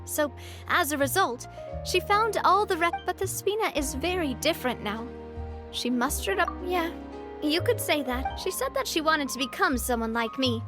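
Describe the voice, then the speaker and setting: A bubbly young gang boss. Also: female voice, high voice, animated, moderate pace. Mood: friendly. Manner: bright and friendly. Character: young female gang boss, upbeat and caring. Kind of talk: video game voice acting. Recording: game audio with loud music.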